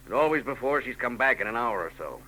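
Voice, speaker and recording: An actor playing a grim, brusque husband in a 1940s radio drama, curt and tense. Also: male voice, medium pitch, animated, quick pace, bright, forceful tone, rough recording. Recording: old radio broadcast with hiss.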